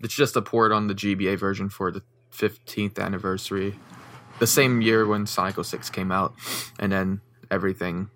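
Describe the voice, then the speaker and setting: A man's voice: low voice, flat delivery, normal speed, fairly bright tone, slight background noise. A young man co-hosting a casual podcast, speaking matter-of-factly and often repeating his words as he starts. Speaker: podcast co-host.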